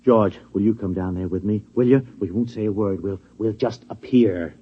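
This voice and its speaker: An American actor playing a flustered, middle-aged lab security chief in a 1950s radio drama, stammering, insistent and coaxing. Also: male voice, on the low side, moderate pace, breathy edge. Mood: coaxing, salesmanlike. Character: flustered, pleading security chief of an atomic laboratory. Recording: muffled old radio recording.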